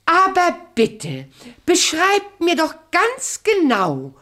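Wicked queen faking a sweet peddler, slow coaxing, very melodic, radio drama. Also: very high voice, wildly swooping pitch, bright, forceful tone, heavily acted. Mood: sly, wheedling. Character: wicked queen posing as sweet, wheedling comb peddler woman. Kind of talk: radio play acting.

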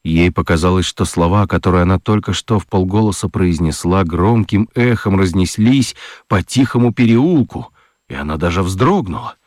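A man narrating a children's radio play as a dramatic storyteller, with expressive, deliberate literary phrasing. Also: sits low, no echo, clean studio sound. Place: dry studio.

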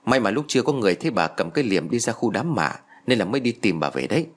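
Narrator as worried village man, animated pitch. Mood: concerned, explaining. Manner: plain-spoken, hurried explaining. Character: younger village neighbour man, earnest and worried.

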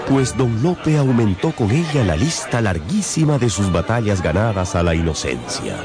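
Male radio novel narrator, deep pitch, theatrical storytelling delivery, prominent music bed. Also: sing-song, moderate pace.

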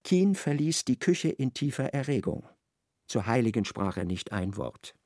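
A man narrating a literary radio play with measured, expressive storytelling. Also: medium pitch, no echo, clean studio sound.

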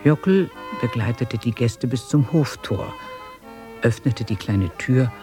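An actor playing the calm narrator of a village tale, reading literary prose for a German radio drama. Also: male voice, mid-range voice, takes it slowly, music in the background. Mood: calm, descriptive. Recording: 1980s radio play on cassette.

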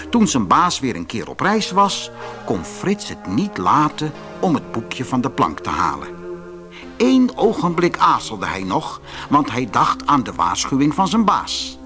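A man reading a fairy tale for children in an expressive narrating style. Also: mid-range voice.

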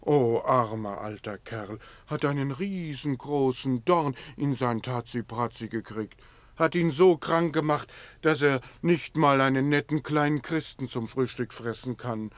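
A man's voice: mid-range voice, unhurried, no room sound, close to an everyday voice. An actor in a radio play playing a meek, tender-hearted man who coos silly rhyming baby talk to a lame lion. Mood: pitying, cooing. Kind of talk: theatrical radio play acting.

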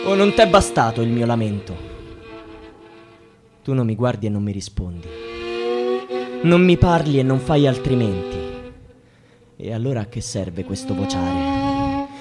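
An actor playing a despairing student who prays in vain, in a declamatory, poetic radio drama monologue that moves between hushed and shouted. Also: male voice, mid-range voice. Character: despairing student trapped in a system, praying in vain.

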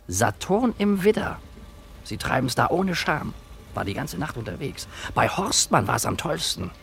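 Sly village quack, swooping delivery. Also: male voice, mid-range voice, big pitch swings, measured pace, creaky, a bit bright, a little hiss or hum, heavily acted. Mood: ominous, knowing. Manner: knowing, insinuating, given to pious quotes. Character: sly, superstitious village quack dispensing dark hints and charms. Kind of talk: German radio play acting.

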